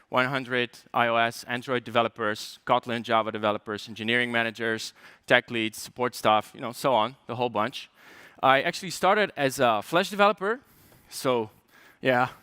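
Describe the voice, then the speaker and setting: A man's voice: mid-range voice, sharp tone, clean tone, no room sound. A man from Poland with a light Polish accent, giving a conference tech talk in a chatty way, with joking asides, fillers and restarts. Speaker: conference speaker.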